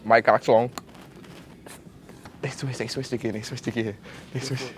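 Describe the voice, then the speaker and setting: A man's voice: mid-range voice, level tone, measured pace. A young adult American man bantering casually with friends in a slangy, joking way, as a prank vlogger. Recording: noisy location sound.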